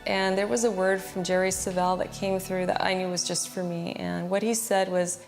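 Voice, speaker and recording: A woman's voice: fairly low pitch, flat delivery, normal speed, vocal fry. A woman giving a personal testimony, recounting events in an earnest, plain-spoken way. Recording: music bed under the voice. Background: background music.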